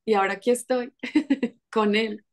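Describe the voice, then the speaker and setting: A woman in her thirties from Colombia with a Colombian Spanish accent, a podcast guest telling stories in lively casual chat with quick restarts. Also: fairly high pitch. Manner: lively storytelling with frequent restarts and laughs.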